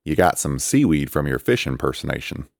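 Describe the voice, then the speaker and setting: A man's voice: very deep voice, big pitch swings, fairly quick, creaky, dry recording, studio quality, exaggerated voice. Man doing a big smug chupacabra guy. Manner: smug, grumbling. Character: big furry male chupacabra, smug and grumbly.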